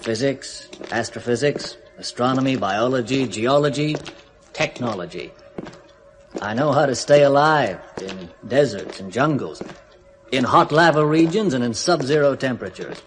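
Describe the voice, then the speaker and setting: A man's voice: mid-range voice, expressive, normal speed, slight background noise, lightly acted. A man playing a detached astronaut. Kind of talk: 1970s radio drama acting.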